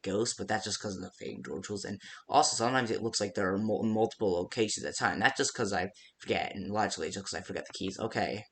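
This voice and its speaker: A man casually improvising phone-call style guide messages for a fan-made game, rambling and haltingly restarting his sentences. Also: on the low side, fairly bright tone. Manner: rambling, halting, self-interrupting with stammered restarts.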